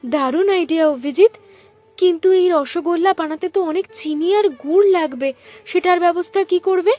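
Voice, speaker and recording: Woman acting as very high-voiced curious young wife. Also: a little fast, dark tone, soft background music. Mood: curious, supportive. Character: curious, supportive young wife and daughter-in-law. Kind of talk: Bengali cartoon dialogue.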